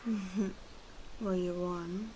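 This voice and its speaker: A woman playing a protective, bossy female werewolf in a soft-spoken ASMR roleplay, murmuring and often slipping into whispers. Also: on the low side, steady tone, extremely slow. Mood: drowsy, tender. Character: female werewolf, gently protective, gruff, bossy toward humans. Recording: clearly audible ambient background noise.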